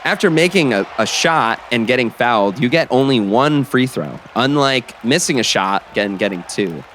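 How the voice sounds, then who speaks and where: A man playing an excited basketball play-by-play announcer on the radio in an audio drama, with dramatic, emphatic calls. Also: mid-range voice, moderate pace, lightly acted. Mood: tense. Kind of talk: sports commentary in an audio drama. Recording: basketball game noise behind.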